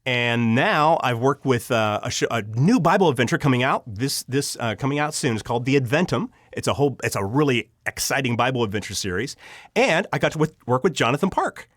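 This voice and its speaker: An earnest man with a medium-pitched voice talks as a guest in an interview, telling stories conversationally. He halts and doubles back on his words as he goes. The sound is close and dry, with no room around it. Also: expressive, fairly quick, a bit bright, no echo. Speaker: audio drama writer and producer, interview guest.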